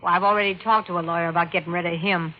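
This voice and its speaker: An actress playing a brash, unsentimental gold-digging widow in an old radio crime drama, blunt and slangy. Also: female voice, fairly low pitch, steady tone, rapid-fire, close to an everyday voice. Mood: brazen, unsentimental. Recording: muffled old-time radio recording.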